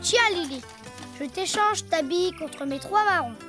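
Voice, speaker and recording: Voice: extremely high pitch, animated, unhurried. An actor voicing a cheerful, imaginative little boy donkey in a preschool cartoon, childlike and full of wondering exclamations. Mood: bargaining. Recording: music behind the voice.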